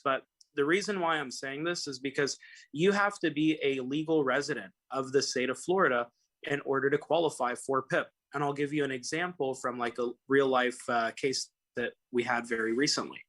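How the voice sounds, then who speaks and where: Bright-voiced young man, rambling on a call. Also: medium pitch, normal speed. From Los Angeles. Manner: rambling, many fillers and restarts. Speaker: personal injury attorney, guest. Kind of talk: casual call-in talk.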